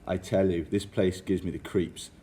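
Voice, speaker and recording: Man acting as jumpy young brother, low voice, suspicious, amateur radio drama. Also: steady tone, normal speed, dark tone, close to an everyday voice. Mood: uneasy, reluctant.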